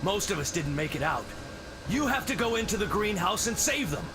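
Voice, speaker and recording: A man's voice: high-pitched, sing-song, brisk, noisy background. An actor voicing an urgent, pleading male police officer in a video game. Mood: desperate pleading.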